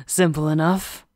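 A woman's voice: lowish voice, darkish tone, no echo, studio quality. Woman playing a blunt, fierce female werewolf chief. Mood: cocky. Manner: blunt, crude, commanding. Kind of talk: ASMR roleplay acting.

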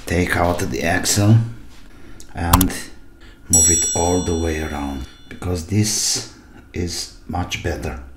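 A middle-aged man giving casual running commentary while repairing, musing aloud in short bursts and asking himself questions. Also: sits low. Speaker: hobby repairer presenting his own video. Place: small indoor home workshop at a desk. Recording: echoey room with loud noise, clicks.

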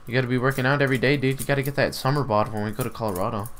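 A young man streaming a shooter game, chatting and trash-talking loudly with a friend. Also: medium pitch, very fast. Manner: loud, excitable trash talk. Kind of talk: casual gaming banter.

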